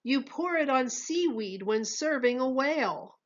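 A woman's voice: high-pitched, animated, measured pace, dull audio, pitched above the performer's own voice. A woman voicing a contrary know-it-all male waiter in a storybook, declaring his rhymes emphatically. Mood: confidently contrary. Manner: emphatic, declaring, sing-song rhyming.

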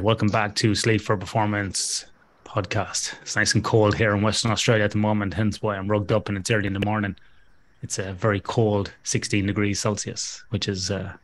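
A middle-aged man hosting a podcast interview over a video call on a headset mic, chatty and joking. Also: lowish voice. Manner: chatty, joking, restarts often. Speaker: podcast host. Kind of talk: casual interview chat. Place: home office.

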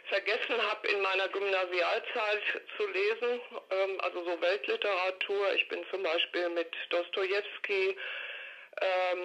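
A woman's voice: medium pitch, sharp tone. An older woman giving a reflective interview by telephone, speaking in a hesitant, detailed, matter-of-fact way. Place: recorded over a telephone call. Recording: dull, lo-fi telephone line.

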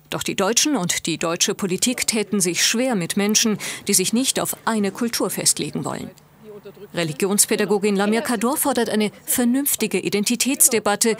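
A woman narrating a television news report in a scripted voice-over. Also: mid-range voice. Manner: even, clear reporting delivery.

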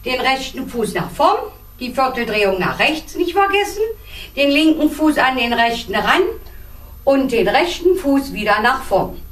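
High-voiced woman teaching dance steps, echoey room. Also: big pitch swings, fairly bright tone. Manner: measured, deliberate, counts out beats. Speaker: line dance instructor. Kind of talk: step-by-step dance instruction with counting. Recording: roomy echo, distant microphone.

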